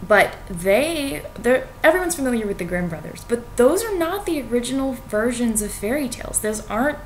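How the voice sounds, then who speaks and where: A woman's voice: fairly high pitch, sing-song, moderate pace, rough recording. Young woman explaining casually over background noise. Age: young adult. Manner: conversational, self-deprecating, colloquial asides. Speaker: student making educational videos. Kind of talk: informal explanatory talk to viewers.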